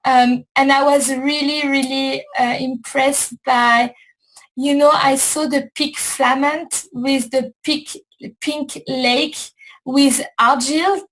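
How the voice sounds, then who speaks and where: High, slow-talking woman. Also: fairly even intonation, a bit bright, rough recording. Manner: slow, repetitive, searching for words. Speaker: host. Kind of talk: casual chat.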